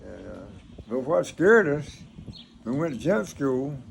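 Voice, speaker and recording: Gravelly older man reminiscing. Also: a little high, big pitch swings, a little slow. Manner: folksy, plain-spoken, rambling. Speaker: grandfather recounting his military past. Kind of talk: informal reminiscing, storytelling. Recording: noisy room, clear background noise.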